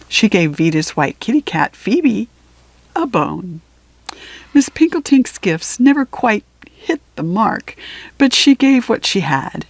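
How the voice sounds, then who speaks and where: A woman reading a children's picture book aloud with expressive phrasing and deliberate pauses. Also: lowish voice, dry recording. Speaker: storybook reader.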